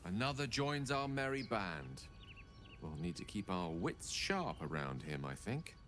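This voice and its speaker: Wary male adventurer, deep, animated pitch, cautious, game dialogue. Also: measured pace, music in the background. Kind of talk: video game dialogue acting.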